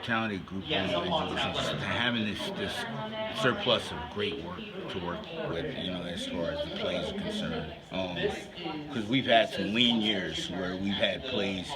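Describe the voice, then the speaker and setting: Middle-aged male interviewee, lowish voice, halting and reflective, speaking amid loud crowd chatter. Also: animated. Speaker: theater festival co-founder being interviewed. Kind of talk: casual interview answers.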